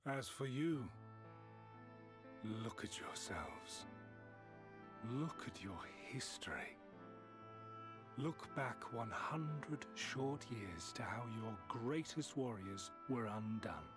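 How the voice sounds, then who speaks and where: Game villain, immortal schemer: unhurried, formal, cold contempt, clear music underneath. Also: male voice, mid-range voice, animated. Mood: scornful. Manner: measured, archaic, formal, disdainful. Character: ancient male Ascian emissary, cold, condescending schemer. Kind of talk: dramatic fantasy video game acting. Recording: music behind the voice.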